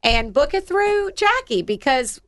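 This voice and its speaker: A woman's voice: high voice, sing-song, a little slow, fairly bright tone. A woman from the Southern United States who hosts a casual interview show, enthusiastic and encouraging, often echoing what her guest says. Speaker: podcast host.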